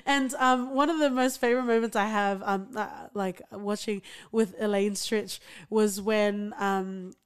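A woman's voice: medium pitch, fairly even intonation, measured pace, few pauses, clear, resonant tone. A young woman co-hosting a podcast, chatting casually about a TV show, laughing often and using lots of fillers. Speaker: podcast co-host.

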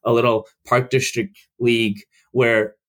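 A young man from Chicago, a podcast guest, telling stories in a casual, halting way with many restarts and fillers. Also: medium pitch, flat delivery. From United States.

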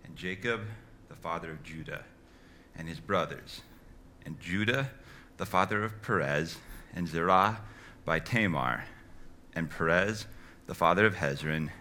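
A man reading a long genealogy from scripture in a slow, steady recitation. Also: sits low, slight background noise. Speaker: scripture reader.

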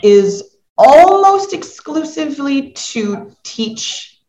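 A middle-aged woman hosting a book club as a coach, chatting casually in an animated, encouraging and affirming way. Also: high-pitched, very slow. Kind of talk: casual chat in a live online book club. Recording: roomy, echoing sound.

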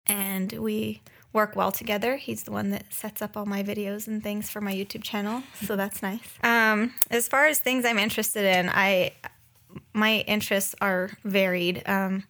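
A woman's voice: medium pitch, level tone, moderate pace, fairly bright tone. A woman in her thirties speaking as a podcast co-host, chatting casually and informally about herself with a joking, self-deprecating streak. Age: 30s. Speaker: podcast co-host and graphic designer. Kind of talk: casual chat introducing herself.

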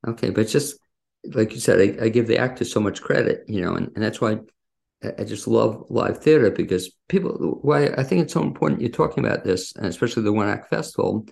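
A man's voice: fairly low pitch, fast, vocal fry, darkish tone. A man hosting a casual interview, asking wordy, rambling questions in a conversational way. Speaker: interviewer, show host.

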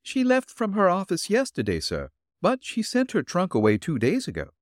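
A man voicing an elderly, refined and gentle landlady in a raised, polite voice. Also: huge pitch swings, moderate pace, dry recording, clean studio sound. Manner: polite, flustered, high put-on voice. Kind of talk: audiobook character reading.

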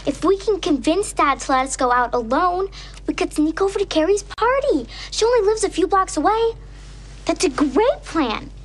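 High-pitched, scheming sitcom girl. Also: lively intonation, normal speed. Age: child. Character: scheming, sassy young twin girls pleading with dad.

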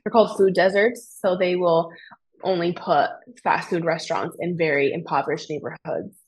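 A woman's voice: on the low side, moderate pace, breathy edge, poor audio. A young American woman chatting casually as a podcast guest and explaining things from her own life in a conversational way. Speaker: podcast guest, fitness coach.

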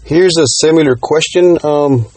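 A man with a slightly husky voice hosts the interview, reading fan questions and gushing like a fan. His voice sounds close and dry, with no room around it. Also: fairly high pitch, unhurried, darkish tone, dry recording. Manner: enthusiastic fan, says "man" often.

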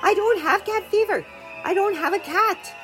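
An actress playing an exasperated, indignant local woman protesting loudly in a news interview within an audio drama. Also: extremely high pitch, moderate pace, faint music behind.

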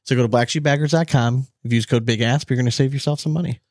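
A man's voice: medium pitch, steady tone, fast, a little mellow, no echo, clean studio sound. A man co-hosting a podcast in casual, laid-back banter, sometimes drawing out his phrases. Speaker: podcast co-host.

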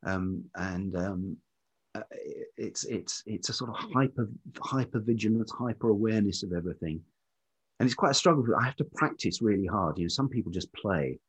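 A man's voice: on the low side, expressive, moderate pace. A middle-aged man with a velvety voice, telling stories reflectively and hesitantly as an interview guest, often repeating words as he searches for the next. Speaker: interview guest, writer and musician. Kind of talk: reflective conversational storytelling.

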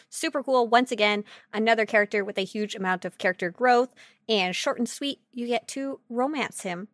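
A woman's voice: mid-range voice, fairly bright tone, no room sound, clean studio sound. A woman co-hosting a podcast, chatting casually about a video game in a gushing, playful, informal way. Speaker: podcast co-host.

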